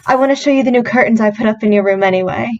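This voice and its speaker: Woman playing a kindly, high-voiced young landlady, muffled sound. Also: very fast, close to an everyday voice. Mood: welcoming. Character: kindly young lodging-house woman, caring and solicitous. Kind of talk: old-time radio drama acting. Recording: slightly muffled, faintly noisy.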